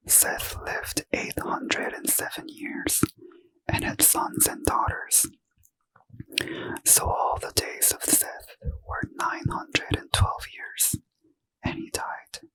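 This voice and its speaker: A man reading scripture aloud as a soft, slow ASMR whisper, gently lilting. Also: poor audio. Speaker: reader.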